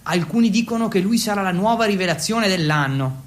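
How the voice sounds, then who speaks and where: An actor playing an excitable, awkward Italian teenage exchange student, telling his story in an intense, confessional radio monologue. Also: high voice, brisk. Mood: confiding. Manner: intense, confessional, switching between Italian and English. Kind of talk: dramatic first-person monologue for radio.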